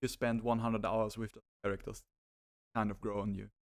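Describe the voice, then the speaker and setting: A man's voice: lowish voice, level tone, normal speed, gravelly, dry recording. A man hosting a casual chat about video games, rambling and hesitant, his mic cutting out now and then. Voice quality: rambling, hesitant, many restarts. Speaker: host. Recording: close mic with dropouts.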